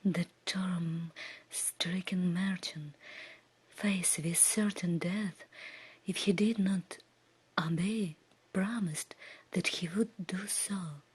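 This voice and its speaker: A woman reading a fairy tale as ASMR in a soft, breathy, soothing whisper. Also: on the low side, steady tone. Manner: slow, hushed, soothing delivery. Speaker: ASMR storyteller.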